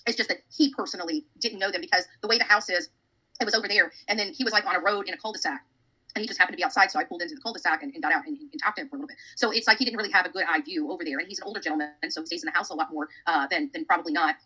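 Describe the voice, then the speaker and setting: American woman, rapid-fire, forceful bright tone, rambling livestream host, casual monologue. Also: on the low side, slightly rough, poor audio. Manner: rambling, repetitive. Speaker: online true-crime livestream host.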